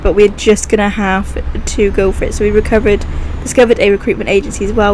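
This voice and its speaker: Young woman, gaming host, croaky sore-throat voice, chatty and upbeat, with light vehicle hum. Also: mid-range voice. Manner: chatty, upbeat, thinking aloud while playing. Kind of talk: casual gameplay commentary.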